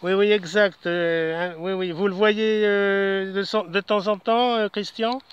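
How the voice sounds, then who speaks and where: A man in his seventies chatting casually over amateur radio with call signs, in a halting, courteous way. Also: high-pitched, fairly even intonation, background noise. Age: 70s. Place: outdoors in a city park. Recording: dull lo-fi audio.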